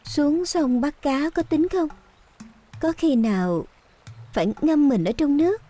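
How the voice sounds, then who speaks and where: A woman's voice: high-pitched, very animated, a little slow, mellow, faint music behind. A woman dubbing a pensive, playful young heroine in an ancient costume drama, musing aloud expressively.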